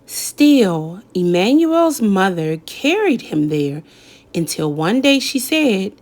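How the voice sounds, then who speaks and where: A woman reading a children's picture book aloud in an expressive storytelling style, pausing for effect. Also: mid-range voice. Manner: slow, lively storytelling with dramatic pauses. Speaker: read-aloud narrator.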